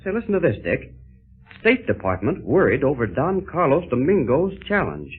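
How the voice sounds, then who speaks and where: A man's voice: fairly high pitch, huge pitch swings, moderate pace, husky, heavily acted. A man playing a wry, plucky cameraman adventurer with a dry, clipped manner in a 1930s radio serial. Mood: reading aloud. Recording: tinny, narrow-band 1930s radio recording.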